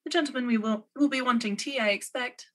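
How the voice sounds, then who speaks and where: A woman's voice: fairly high pitch, quick pace, close to an everyday voice. A woman playing a practical farm aunt in a radio drama, matter-of-fact and used to giving orders. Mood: brisk, practical. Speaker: student actor.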